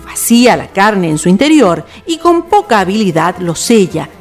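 A woman's voice: mid-range voice, sing-song, moderate pace, soft background music. A woman narrating a scripted radio play in a theatrical storytelling manner.

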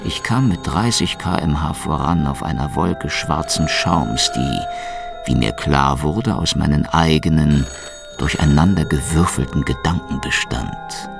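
An actor playing a cynical, wisecracking Soviet secret police investigator who narrates a hardboiled radio crime drama in a sardonic, laconic way. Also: male voice, a bass voice, a little slow, vocal fry, exaggerated voice. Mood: dreamy, wry. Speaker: narrator and lead detective. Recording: music behind the voice.

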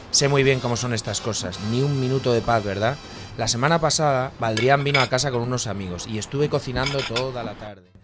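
A man's voice: mid-range voice, natural voice. A man playing an anxious, lonely house husband in an audio drama, chatty and self-pitying.

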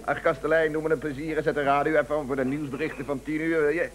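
An actor playing an agitated, hurried man in a radio play, urgent and insistent in a theatrical way. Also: fairly high pitch, flat delivery, close to an everyday voice. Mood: jovial, insistent. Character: agitated fugitive man, hurried, darkly celebrating.

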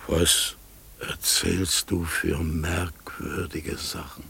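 A sad outcast raven for a radio play, super deep and croaky, really slow n solemn. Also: male voice, level tone, sharp tone, heavily acted. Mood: puzzled, cautious. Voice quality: gravelly, husky, breaking into whispers.

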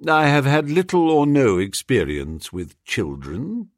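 A man's voice: medium pitch, wildly swooping pitch, a little slow, no echo, studio quality. A man voicing a dignified manservant who speaks formally, respectfully but firmly in an audiobook story.

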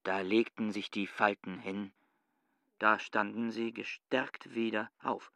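An actor playing an obsessive, brooding man, reciting feverish inner thoughts in a deliberate, incantatory literary radio drama style. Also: on the low side, fairly even intonation, gravelly, no room sound, full character voice. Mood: bitter brooding. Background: loud instrumental music.

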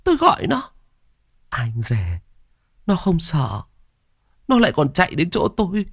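A man's voice: on the high side, huge pitch swings, a little slow, slightly rough, no room sound, studio quality, voice pushed up, exaggerated voice. A man voicing a terrified older village woman who stammers and insists on what she saw. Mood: insistent frightened.

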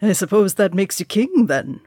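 A woman voicing a rowdy young male dwarf drinker in a storybook reading, with jeering, lively banter. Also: medium pitch, moderate pace, slightly rough, dry recording, studio quality, pitched above the performer's own voice. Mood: teasing.

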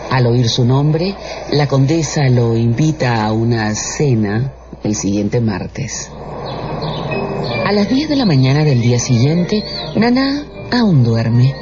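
A man narrating a literary radio drama in a deliberate storytelling manner. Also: medium pitch, sing-song. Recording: muffled audio under loud music.

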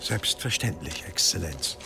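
An actor playing a deferential, fussing head of a monastery in a German audio drama, obsequious and courtly. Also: male voice, low voice, animated, measured pace, gravelly, music in the background, exaggerated voice. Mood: obliging.

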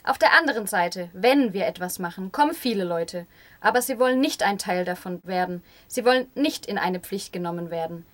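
A woman's voice: medium pitch, expressive, fairly quick. A woman reading a translated interview as a voice-over for community radio.

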